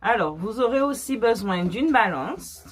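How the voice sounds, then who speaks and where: A woman's voice: on the high side, expressive, unhurried, a little hiss or hum. A woman from the French Caribbean chatting casually on video, explaining things step by step with practical advice. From French Caribbean (Guadeloupe and Martinique). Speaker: small cosmetics business co-owner answering questions.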